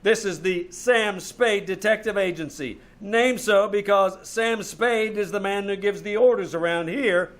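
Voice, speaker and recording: A man with an American accent playing a wry, exasperated private detective in a live old-time radio drama, with deadpan, hesitant delivery. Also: sits high, measured pace, hardly any pauses. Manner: deadpan, hesitant wisecracks and dry first-person narration. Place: small theater stage, live performance.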